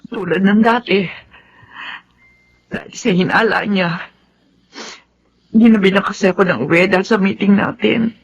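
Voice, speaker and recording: A woman's voice: mid-range voice, fairly even intonation, normal speed, pauses often, highs cut off, lightly acted. A woman playing a long-suffering, battered wife and mother, plaintive and pained, in a melodrama. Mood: weary resigned. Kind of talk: melodramatic drama acting.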